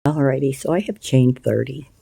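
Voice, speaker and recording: A woman with a scratchy voice hosting a casual crochet tutorial, chatty and unhurried, with plenty of ums and uhs. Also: very deep voice, gravelly, dark tone, husky, dry recording. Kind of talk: casual hands-on craft tutorial.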